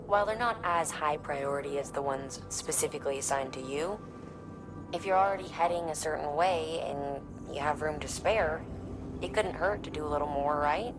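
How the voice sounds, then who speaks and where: A woman acting a friendly operations colleague in a video game. Her voice is notably low, with music clearly behind it. She explains things informally and encouragingly. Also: moderate pace, close to an everyday voice. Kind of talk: scripted video game dialogue.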